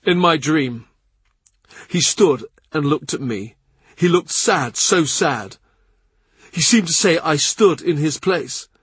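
A man's voice: on the high side, animated, takes it slowly, slightly rough, no room sound, pitched above the performer's own voice, full character voice. A man reading the part of a troubled middle-aged king who dwells gloomily on a dream of his dead brother. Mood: brooding. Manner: brooding, troubled, speaks at length. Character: weary anxious middle-aged male king.

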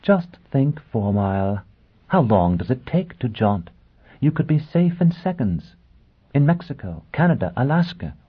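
Storybook noblewoman played by a male narrator: lifted pitch, husky breath, amused hauteur, icy and fierce, on a slightly dull recording. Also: sing-song, a little slow. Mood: taunting, amused. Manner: amused, haughty, theatrical.

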